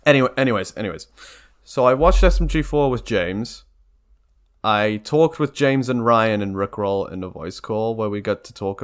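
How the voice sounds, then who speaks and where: A young man talking casually and earnestly in a rambling, informal way, as a video creator addressing viewers. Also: lowish voice. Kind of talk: casual vlog talk to camera or mic.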